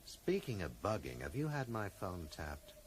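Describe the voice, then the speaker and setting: A man's voice: low voice, sing-song, normal speed, slight background noise. An actor playing a blunt, suspicious man who probes insistently, in a radio drama. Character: dogged, suspicious male amateur investigator with sense of justice. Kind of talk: 1980s radio drama acting.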